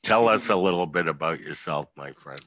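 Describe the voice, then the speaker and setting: A man hosting a panel talk over a video call and asking his guests questions in an unhurried, friendly way. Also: rumbling low, pushed and bright, no echo. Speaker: host.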